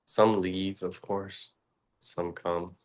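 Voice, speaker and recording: A man leading a guided meditation, speaking slowly and haltingly with long pauses and fillers. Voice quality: very low, flat voice. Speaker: meditation teacher.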